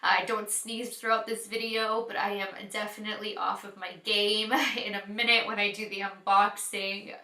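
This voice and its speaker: A woman's voice: medium pitch, level tone, normal speed, hardly any pauses, bright, forceful tone. A woman beauty vlogger with a congested, nasal head-cold voice, chatting casually to her viewers in an apologetic, explanatory way. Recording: roomy, echoey sound.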